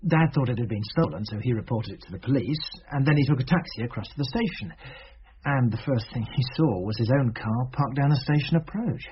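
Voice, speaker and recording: An actor playing an anxious, apologetic well-off youth under police questioning in a radio drama, hesitant and defensive. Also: male voice, medium pitch, lively intonation, brisk, lightly acted. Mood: rueful, hesitant. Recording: dull, faintly noisy radio drama recording.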